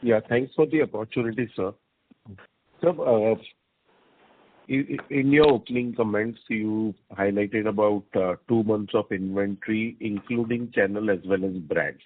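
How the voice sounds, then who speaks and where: A man's voice: lowish voice, fairly even intonation, moderate pace. A man speaking as an analyst, asking management a question on an earnings call. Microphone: phone. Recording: dry narrow phone line.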